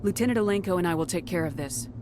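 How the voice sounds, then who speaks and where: Woman acting tough female commander, slightly low voice, curt, commanding, game dialogue, noisy background. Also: animated, fast talker, lightly acted. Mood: stern. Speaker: military commander.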